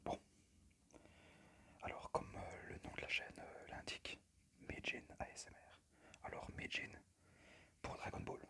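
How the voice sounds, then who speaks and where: A man speaking in a breathy, unvoiced whisper into a phone microphone, presenting informally in ASMR style with a hesitant, unpractised manner. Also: measured pace, long pauses, pushed and bright. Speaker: amateur ASMR creator and game collector. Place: at a desk indoors.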